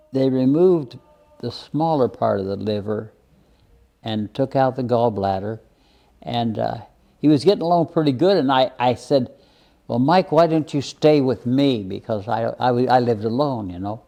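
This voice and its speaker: An elderly man speaks slowly and reflectively in an interview. His voice is gravelly and soft-edged, with a mellow tone. He halts and repeats words as he tells his plain-spoken personal stories. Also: mid-range voice. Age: over 80. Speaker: interview guest, retired minister.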